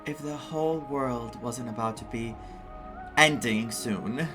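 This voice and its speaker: Slow-talking gamer from Greece. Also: medium pitch. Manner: chatty, reactive, hesitant phrasing. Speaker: gaming streamer. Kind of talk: casual gameplay commentary. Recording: game music under the voice.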